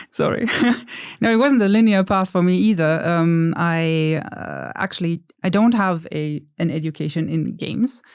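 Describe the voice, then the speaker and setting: A woman's voice: lowish voice, slow pace, clear, resonant tone, no echo. Woman on a dry close mic. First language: German. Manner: thoughtful, hesitant, self-deprecating. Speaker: podcast guest. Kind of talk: casual interview chat.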